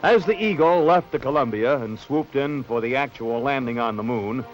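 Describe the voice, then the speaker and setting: An American man reading scripted narration for a late-1960s newsreel in a measured, polished announcer delivery. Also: medium pitch, rough recording. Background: background music.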